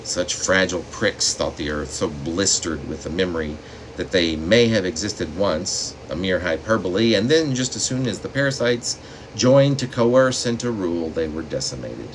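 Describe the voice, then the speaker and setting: A man reads his own poetry in a deliberate, dramatic way with pauses between phrases. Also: sits low, rough recording. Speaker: poet reading own work. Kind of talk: poetry reading with spoken asides. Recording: steady room noise, air conditioning hum.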